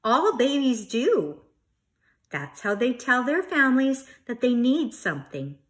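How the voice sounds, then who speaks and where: A gentle storybook mother bear , read by a woman. She explains patiently and with emphasis, her pitch moving in lively swings. Her manner is reassuring. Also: unhurried.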